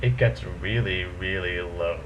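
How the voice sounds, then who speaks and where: A young man with a deep voice talks to the camera in a casual vlog. He is animated, his pitch leaping up and down to stress his points. The recording sounds a little muffled, with a faint hiss. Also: measured pace. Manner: animated, swings pitch up and down for emphasis. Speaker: vlogger host. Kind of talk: casual talking-head vlog.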